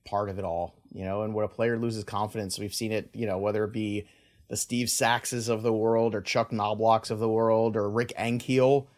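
A man's voice: lowish voice, level tone, normal speed. A man hosting a sports podcast, talking in a casual, reflective way with lots of filler words. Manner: reflective, rambling with many "you know" fillers. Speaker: podcast host.

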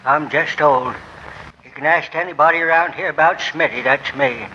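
Casting: elderly skid-row panhandler, humble, bright and forceful voice, 1940s radio drama, slightly noisy tape. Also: male voice, fairly high pitch, sing-song, normal speed, gravelly, lo-fi sound, heavily acted. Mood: humble pleading. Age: older. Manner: plain, ungrammatical street talk. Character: old down-and-out panhandler, humble and pleading.

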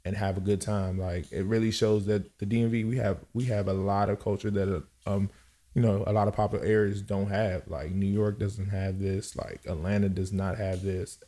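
A man of about thirty-one from Cameroon talks as a podcast guest. His voice is low and soft-edged, with a relaxed tone. He chats casually, often pausing to hunt for the next word. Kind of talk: casual chat.

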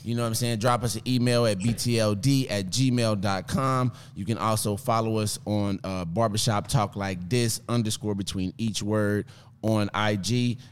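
A man's voice: fairly low pitch, fairly even intonation, normal speed, clean tone. A man hosting a casual podcast, loose and joking with lots of slang. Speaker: podcast host.

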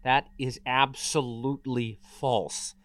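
A man's voice: mid-range voice, steady tone, fairly bright tone, dry recording. Man playing a formal corporate villain, dry recording. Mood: defensive, composed. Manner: formal, measured, self-righteous. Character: smooth, defensive male corporate executive villain. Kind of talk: press conference speech in table read.

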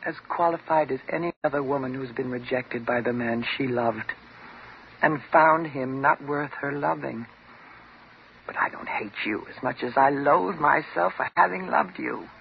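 An actress playing a bitter, vengeful jilted patient with a mocking, sarcastic and dramatic manner in a 1950s radio drama. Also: female voice, extremely low pitch, normal speed, vocal fry, slight background noise. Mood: cold, bitter. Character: bitter, vengeful jilted woman patient holding doctor at gunpoint. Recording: dull old 1950s radio recording.

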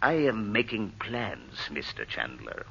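An actor playing a blunt, commanding foreign schemer with formal, imperious, clipped phrasing in an old radio drama. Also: male voice, lowish voice, very animated, slow pace, creaky, fairly bright tone, slight background noise, full character voice. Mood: coolly commanding. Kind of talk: 1930s-40s radio drama acting. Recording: muffled old radio broadcast recording.

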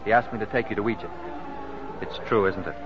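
Actor as Paul, insistent low-voiced radio suitor. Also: male voice, steady tone, quick pace, natural voice. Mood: tender, earnest. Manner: earnest, insistent, dramatic. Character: Paul, smooth-talking, possessive romantic suitor. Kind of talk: 1940s radio drama acting. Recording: dull 1940s radio recording, music behind.

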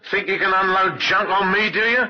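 An actor playing a menacing, contemptuous razor gangster in an old-time radio drama. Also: male voice, sits high, fairly even intonation, fairly quick, close to an everyday voice. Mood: threatening. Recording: dull old radio recording.

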